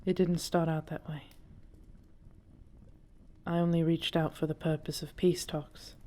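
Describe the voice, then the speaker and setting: A woman's voice: sits low, little pitch movement, measured pace, dark tone. A woman playing an earnest, devoted dragon princess and commander in an audio drama, speaking in solemn, formal phrases. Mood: tender, sincere. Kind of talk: scripted audio roleplay drama.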